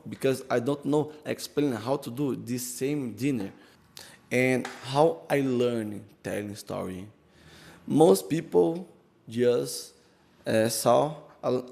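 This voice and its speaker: Man, slow unhurried delivery, gravelly fry, halting learner English. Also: medium pitch, a little mellow. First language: Portuguese. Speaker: vlogger. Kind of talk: casual English practice vlog. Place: empty room he found with an open door.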